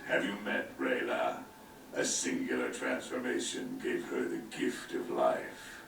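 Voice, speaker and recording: An actor playing a sinister, arrogant villain in a video game, gloating theatrically in a very deep, gravelly voice. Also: male voice, level tone, unhurried, fairly bright tone, a little hiss or hum, exaggerated voice. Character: sinister, arrogant male villain, master of mutant experiments. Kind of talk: video game cutscene acting. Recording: very echoey game audio, distant, faint music.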